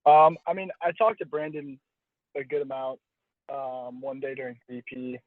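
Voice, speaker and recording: A young man answers interview questions casually in a mid-pitched voice, circling back and rephrasing as he talks. The sound is a lo-fi phone call, dull and dry, with no sense of room. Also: little pitch movement, normal speed, no echo. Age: young adult. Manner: hedging, self-correcting, restating phrases. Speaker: college baseball pitcher being interviewed.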